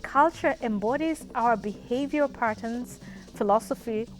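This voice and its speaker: Ugandan lady doing educational narration, kinda high voice, explains stuff with emphasis, faint music behind her. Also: normal speed. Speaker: presenter.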